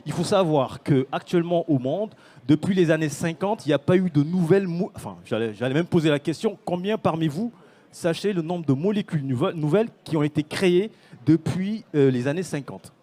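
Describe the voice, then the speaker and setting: A man's voice: fairly high pitch, lively intonation, a little fast, warm tone. A man from Senegal, a startup founder, speaking on a conference panel with many fillers and restarts. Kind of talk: panel talk.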